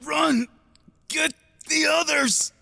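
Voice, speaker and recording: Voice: high-pitched, steady tone, drawn-out words, vocal fry, pushed and bright, exaggerated voice. An actor playing a desperate vampire hunter in an audio roleplay, crying out with strained urgency. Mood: desperate, urgent. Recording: long hall reverb.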